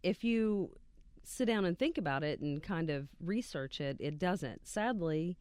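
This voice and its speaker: A woman's voice: on the low side, expressive, a little slow, smooth, no echo, clean studio sound. A woman from West Virginia with a local accent, a guest activist talking in a casual interview, hesitant with many ums, sitting a little off the mic. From West Virginia, USA. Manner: hesitant, many ums and uhs, soft-spoken. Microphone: sits a bit off the studio mic.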